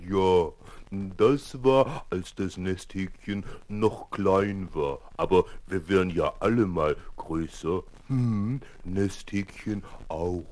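Deep, unhurried talking dog. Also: male voice, darkish tone. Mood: chummy. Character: friendly talking dog bidding young listeners goodbye. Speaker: presenter of a children's record. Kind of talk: children's audio play hosting.

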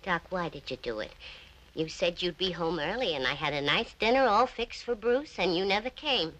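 A woman's voice: fairly low pitch, animated, normal speed, a bit bright, rough recording. An actress playing a meek, anxious middle-aged housewife who pleads desperately with her drunken husband in a film scene. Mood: pleading. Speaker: wife.

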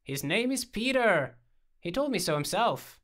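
A man voicing an excitable, childlike young man, a playful friend who speaks with theatrical fervor in an audiobook. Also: on the high side, normal speed. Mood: fervent protest. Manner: fervent, theatrical, exclaiming. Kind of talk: audiobook character dialogue.

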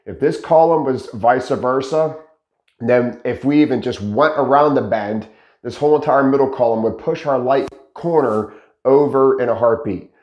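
Animated man teaching with a slightly raspy voice. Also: mid-range voice, moderate pace, echoey room. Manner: animated, gestures a lot, asks rhetorical questions. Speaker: host teaching pallet building. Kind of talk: casual instructional talk to camera. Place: dark studio with green screen, indoors. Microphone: lapel mic.

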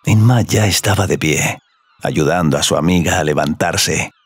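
A man's voice: low-pitched, moderate pace, husky, no echo. A man narrating a scripted audio story.

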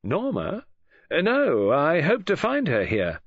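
A man's voice: fairly high pitch, huge pitch swings, takes it slowly, husky, dry recording, lo-fi sound, voice pushed up, heavily acted. A man voicing a flippant, insolent young dandy with an airy, teasing drawl, in an audiobook. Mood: airy, amused.

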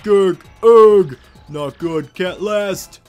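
A man's voice: high-pitched, extremely slow, a little mellow, faint music behind. A man voicing a blustering villain boss from a video game, bellowing theatrically. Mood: straining. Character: blustering villain henchman boss piloting a robot.

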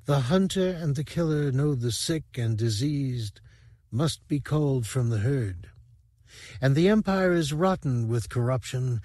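A man reading the lines of a dying female serpent-like alien warrior, speaking softly, slowly and soothingly. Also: mid-range voice, dry recording, clean studio sound, pitched above the performer's own voice, lightly acted. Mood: calm tender. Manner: soft, slow, hushed and soothing.

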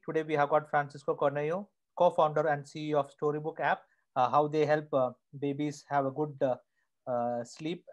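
A man in his thirties hosts an interview from home over a video call, talking through an earphone mic. His voice sits somewhat high, and his questions come out halting, broken up by constant "uh"s. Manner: hesitant, many "uh" fillers. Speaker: host. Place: home room indoors.

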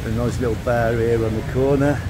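An older man, narrating a relaxed, chatty walking vlog outdoors on a city street. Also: mid-range voice, a little fast. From England. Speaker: vlogger. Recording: street traffic noise. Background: traffic noise.

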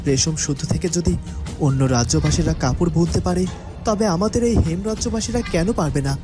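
Man doing earnest young cartoon prince, a bit high, pleading, music behind. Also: lively intonation, fast talker, close to an everyday voice.

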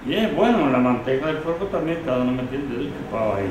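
A man's voice: medium pitch, expressive, racing, muffled recording, noisy background. An older man born in Galicia, a former rebel soldier, recalling the war in an interview, often ending with a questioning "no?". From Galicia, Spain. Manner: reflective, with many "¿no?" tags. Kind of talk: recollecting in an interview. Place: living room, indoors. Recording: very echoey room, mic far off.

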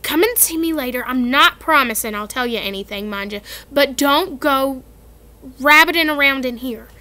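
A woman reading aloud voices a large, gruff, secretive gamekeeper who hesitates and talks in a shifty way. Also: high-pitched, lively intonation, moderate pace, pushed and bright. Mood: urgent.

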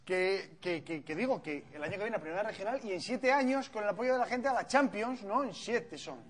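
A man's voice: sits high, fairly quick. A man, hosting a comedy TV sketch with laughing, teasing banter. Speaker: sketch show host. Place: TV studio set.

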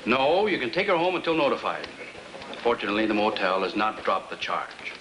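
Male actor as clipped American cop, quick, lively pitch, matter-of-fact, echoey 1950s film. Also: lightly acted. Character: brisk, matter-of-fact police officer reassuring parents. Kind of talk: 1950s film drama acting. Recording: roomy, noisy old film soundtrack.

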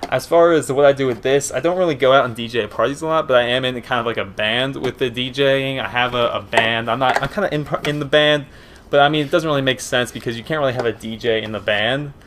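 A man's voice: mid-range voice, fast, a bit bright, slight background noise. A young man in his late teens with a Philadelphia and Eastern Pennsylvania accent, chatting casually through a Q and A over gameplay, rambling and easygoing with many fillers. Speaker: gaming commentator. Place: small room at home, indoors.

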